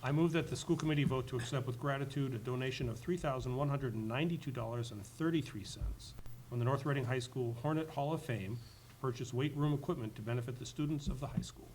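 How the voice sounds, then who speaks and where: A man's voice: mid-range voice, fast, a little mellow. A man on a school committee formally reading out motions at a public meeting. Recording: noisy public meeting room recording.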